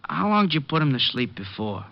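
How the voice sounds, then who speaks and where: A man's voice: fairly high pitch, sing-song, fairly quick, gravelly. A man playing a mocking, pushy gangster with slangy tough-guy talk in an old-time radio drama. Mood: calculating. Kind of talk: 1940s-50s radio drama acting. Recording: dull old radio recording.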